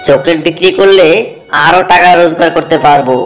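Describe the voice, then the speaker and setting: Man voicing a high-toned greedy cartoon shopkeeper. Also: fairly quick, faint music behind, lightly acted. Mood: scheming. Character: greedy, scheming village shopkeeper man, easily frightened.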